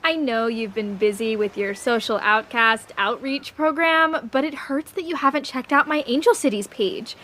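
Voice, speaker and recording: Woman acting vain young newswoman, higher-pitched, haughty, cutting, audio drama. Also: normal speed, hardly any pauses, pushed and bright. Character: vain, snobbish young woman news reporter.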